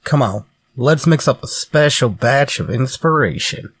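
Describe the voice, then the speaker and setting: A man's voice: medium pitch, expressive, measured pace, fairly bright tone, husky, exaggerated voice. An actor playing an easygoing, casual male pal in a retro radio drama. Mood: helpful, casual. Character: easygoing male drinking buddy offering ideas.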